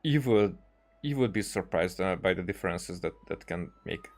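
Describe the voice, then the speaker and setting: Halting low-voiced man doing game commentary. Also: expressive. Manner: halting, searching for words, rambling. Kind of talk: casual let's-play commentary. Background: faint game music.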